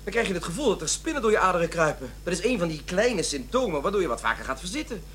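A high, very animated male voice plays a self-righteous, irritable office worker in a radio drama. He talks in wordy, pompous sentences and defends himself at every turn, over a faint hum. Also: fast talker. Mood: dismissive, defensive.

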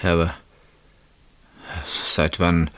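An actor playing a suspicious, agitated husband in a German radio play, questioning insistently and dramatically. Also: male voice, low-pitched, monotone, slow, fairly bright tone, clean tone. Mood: bitter, probing. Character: suspicious, agitated husband searching for his missing wife.

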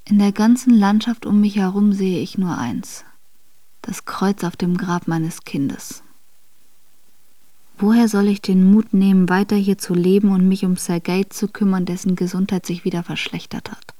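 A woman playing a devoted young exiled noblewoman in a radio drama, reading her letters in a measured, intimate and formal way. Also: mid-range voice, dark tone, no room sound, close to an everyday voice. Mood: grieving, weary.

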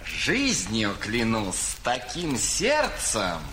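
Sly disguised ruler, probing, very lively pitch, roomy noisy sound. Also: male voice, very slow, full character voice. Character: caliph disguised as a curious wandering dervish. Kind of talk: audio fairy tale acting.

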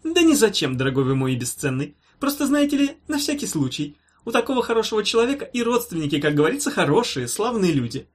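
High, fussy pompous professor. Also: male voice, very animated, fast, hardly any pauses. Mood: smoothly patronising. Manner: wheedling pedantic patter, tutting, padded with stock phrases. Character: pompous, fussy, sly male medical professor, falsely soothing.

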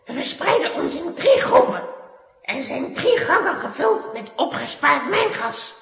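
A woman playing a vengeful, scheming witch in a children's radio play, commanding and gloating in a shrill, creaky voice. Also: sing-song, a little slow, a bit bright, heavily acted. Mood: gloating, triumphant. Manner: theatrical, gloating, declamatory. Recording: roomy reverberant sound.

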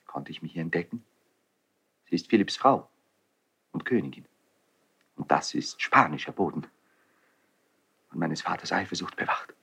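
A man playing an anguished young crown prince in a classical German verse radio drama, declaiming emotionally between hushed brooding and outbursts. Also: low voice, animated, moderate pace, vocal fry, long pauses, slightly rough, full character voice. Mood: tormented.

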